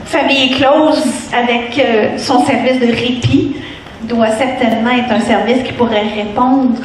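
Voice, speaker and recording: A woman moderates a panel, encouraging her guest and asking questions. Her voice sits fairly high and sounds distant, ringing through a very echoey room. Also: steady tone, unhurried, slight background noise. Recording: echoey room, mic far off.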